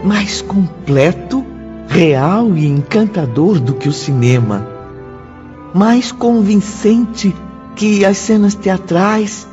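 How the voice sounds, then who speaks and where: A man reading scripted radio drama narration in a solemn, dramatic and deliberate manner. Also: high voice, lively intonation, a little mellow. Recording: dull lo-fi sound. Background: music.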